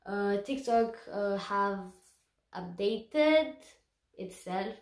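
A young woman from Romania with a Romanian accent, chatting to camera in a giggly, animated vlog. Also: medium pitch, very slow, roomy sound. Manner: bubbly, giggly, gestures a lot. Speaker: vlogger. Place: small room indoors.

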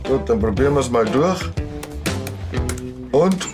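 An actor playing a casual, self-justifying tech geek in a TV crime comedy, a little hesitant. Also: male voice, mid-range voice, lively intonation, slow, music in the background. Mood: laid-back. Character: laid-back, evasive male tech geek helper.

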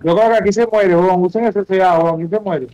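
High-voiced Venezuelan man calling out commands. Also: fast talker, dark tone, muffled recording. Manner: bossy, slangy, repeats commands. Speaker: online game teammate. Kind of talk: casual game callouts. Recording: music behind the voice. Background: music.

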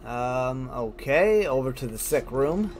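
A man's voice: medium pitch, animated, slow pace, slight background noise. A man doing casual gameplay commentary, thinking aloud and chatting informally to his viewers. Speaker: gamer, host of a let's play. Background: game music.

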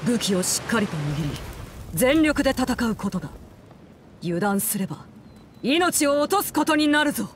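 A woman playing a cold, commanding parent and fighter in a Japanese video game, speaking in a measured, imperious, menacing way. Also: a little high, big pitch swings, a little hiss or hum. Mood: stern, composed. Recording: loud game music over the voice. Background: loud music.

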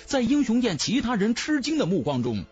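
A man's voice: very high voice, wildly swooping pitch, fairly quick, a little mellow, breathy edge, highs cut off, faint music behind. A man narrating a fantasy novel in a theatrical, emphatic style, as a scripted audiobook.

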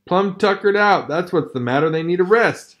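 Narrator as a wry frontier man, folksy drawl, slightly raised pitch. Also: lively intonation, moderate pace, fairly bright tone. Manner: folksy drawl with country words.

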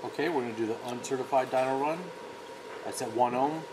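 A man's voice: medium pitch, fast. Male product demonstrator, casual and matter-of-fact, noticeable workshop noise behind. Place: indoor workshop.

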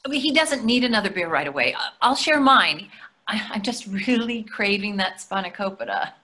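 A woman's voice: medium pitch, animated, moderate pace, bright, forceful tone. An older woman playing a hungry, nagging wife who questions everything in a staged comedy reading over a video call. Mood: fretful. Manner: querulous, questioning. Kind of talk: readers theatre comedy acting. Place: indoor room on a video call. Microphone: video call microphone.

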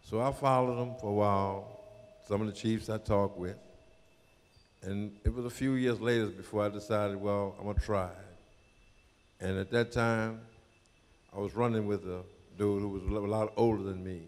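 A man in his eighties with a low voice tells his story plainly and steadily. He speaks into a handheld mic in a community hall, and a long echo hangs after his words. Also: steady tone, normal speed. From New Orleans, Louisiana. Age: 80s. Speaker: panel guest, Mardi Gras Indian chief. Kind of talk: telling a personal story to an audience.

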